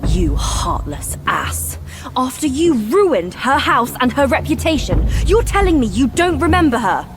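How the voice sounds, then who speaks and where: Slow, haughty spider demoness. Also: female voice, high voice, very animated, music in the background. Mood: bitter. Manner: poised, taunting, deliberate. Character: haughty, vengeful spider demoness, a young noble daughter. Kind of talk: video game cutscene acting.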